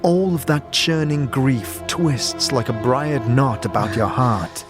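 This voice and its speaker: Solemn male fantasy narrator over music. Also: mid-range voice. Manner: measured, solemn storytelling. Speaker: narrator and game master. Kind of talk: dramatic second-person fantasy narration.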